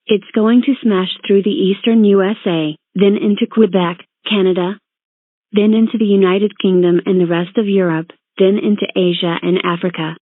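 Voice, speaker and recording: A woman's voice: medium pitch, steady tone, moderate pace, dry recording. Tinny phone-band woman announcing emergency alerts. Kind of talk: scripted emergency broadcast announcement.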